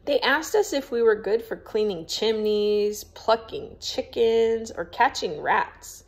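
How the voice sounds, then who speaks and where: A woman's voice: fairly high pitch, lively intonation, takes it slowly, pitched above the performer's own voice. A woman voicing an eager, friendly young girl in a storybook, curious and sympathetic.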